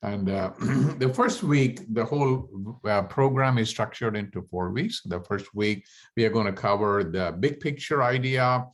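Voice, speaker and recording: A middle-aged man, a native Tamil speaker, presenting a study abroad program in a halting way, with many fillers and restarts. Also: on the low side. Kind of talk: informational presentation to prospective students.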